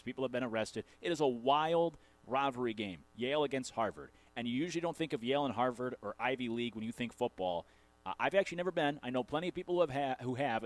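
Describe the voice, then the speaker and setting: An American man from Connecticut hosting a sports radio show, talking in a rambling, informal monologue. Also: medium pitch. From Connecticut, USA. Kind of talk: conversational sports talk monologue. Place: radio studio.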